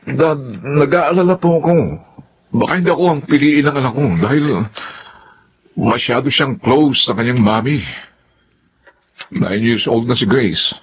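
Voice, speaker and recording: A man's voice: medium pitch, measured pace, pauses often, husky, lo-fi sound. Conflicted, placating young father. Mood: troubled. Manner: placating, earnest. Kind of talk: Filipino radio drama acting.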